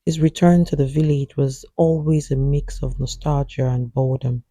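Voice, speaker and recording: A man narrating a scripted horror folktale as a storyteller, in a grave, suspenseful manner with a husky voice. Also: medium pitch, fairly even intonation, dark tone, dry recording. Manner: slow, grave, suspenseful delivery.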